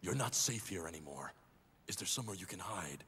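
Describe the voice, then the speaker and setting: An actor voicing a grim, brooding masked male vigilante, speaking deep, low and measured. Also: lively intonation, a little hiss or hum. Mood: urgent. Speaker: masked detective hero. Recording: echoey cartoon soundtrack with loud score.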